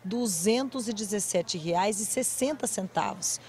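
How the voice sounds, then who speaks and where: A woman, narrating a news report in a measured way, reading out prices and percentages. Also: mid-range voice, darkish tone, faint music behind. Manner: measured, figure-heavy narration. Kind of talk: scripted news voice-over.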